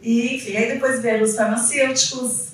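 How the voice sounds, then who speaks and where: A woman's voice: fairly high pitch, fairly even intonation, brisk, slight background noise. A woman from the São giving an informal, storytelling talk as an educator, acting out people's reactions as she goes. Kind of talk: informal spoken storytelling to an audience. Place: indoor event room, on a small stage with seated audience. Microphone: handheld microphone, recorded by a distant camera. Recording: echoey hall, picked up from far off.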